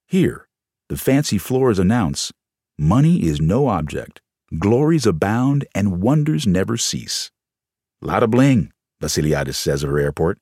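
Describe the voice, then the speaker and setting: A man with a fairly low, husky voice narrates nonfiction in a dramatic, showman-like style. His pitch moves widely from phrase to phrase, giving the scripted reading plenty of theatre. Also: sing-song, unhurried, a little mellow, no room sound, studio quality. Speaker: audiobook narrator.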